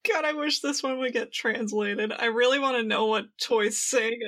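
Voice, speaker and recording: Bright, high-voiced woman chatting casually. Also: moderate pace. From United States. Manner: animated retelling, acts out quoted lines, slangy. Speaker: podcast co-host. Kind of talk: casual chat.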